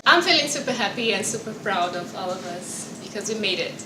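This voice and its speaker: A woman's voice: medium pitch, expressive, a little fast. A woman from Brazil, sounding bubbly and upbeat. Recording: echoey room, distant mic, background noise. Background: ambient noise.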